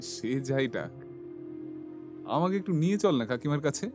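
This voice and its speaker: An actor playing a mild, polite young city doctor in an audio story, speaking in a gentle, conversational way. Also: male voice, a little high, expressive, normal speed, music in the background, close to an everyday voice. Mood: concerned, earnest.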